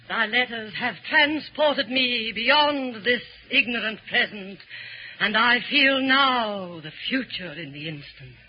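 A woman's voice: on the high side, breathy edge, exaggerated voice. An actress playing a ruthless, ambitious noblewoman in theatrical 1940s radio Shakespeare, intense and commanding. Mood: exultant. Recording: dull lo-fi 1940s radio recording.

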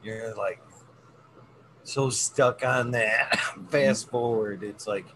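A man chatting casually on a podcast, playful and animated. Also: medium pitch, extremely slow. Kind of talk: casual chat. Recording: noisy background.

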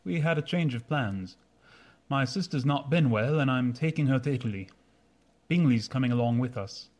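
Man playing a proud, formal young gentleman. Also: medium pitch, normal speed, lightly acted. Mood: cool, guarded. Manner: stiff, formal, courteous. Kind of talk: radio drama acting.

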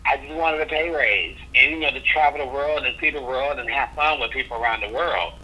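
A man's voice: mid-range voice, fairly quick, pushed and bright, breathy edge. A man, a drag performer, talking as a guest in a casual interview, sassy and blunt in his opinions. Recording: dull lo-fi recording.

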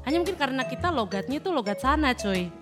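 A woman's voice: sits high, big pitch swings. A woman from Kendari who works as a radio announcer, chatting casually and often chiming in with short responses. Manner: chatty, quick to respond with backchannels. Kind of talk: casual podcast chat. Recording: music clearly behind.

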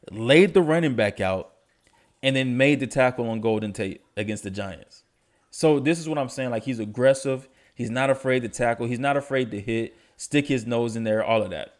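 Man, young adult, stuffy nose, casual podcast host, slangy, easygoing delivery. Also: mid-range voice. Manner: laid-back, slangy, enthusiastic about stats. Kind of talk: casual sports podcast talk.

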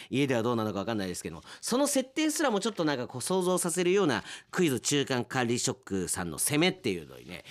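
A man hosting chatty radio after-show talk, reading out submissions with playful asides. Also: fairly high pitch. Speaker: radio host and announcer.

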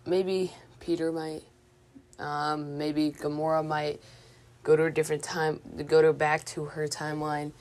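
A woman's voice: low-pitched, a little mellow. A woman hosting a podcast in a casual, informal way, hesitantly thinking aloud as she goes. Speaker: podcast host. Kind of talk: casual movie news chat.

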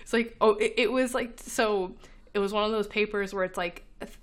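A woman co-hosting a relaxed podcast, talking in a middle pitch. She rambles with plenty of fillers and keeps breaking into laughter. There is a slight congestion in her voice. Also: moderate pace. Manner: laughs often, chatty with many fillers. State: slightly congested, recovering from illness. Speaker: podcast co-host. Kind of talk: casual chat.

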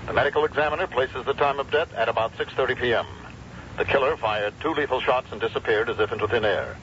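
A man playing a brisk, formal morning newsreader in an old-time radio drama, declamatory and impersonal. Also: mid-range voice, fairly bright tone, natural voice. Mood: formal, matter-of-fact. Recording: dull old radio recording, faint noise.

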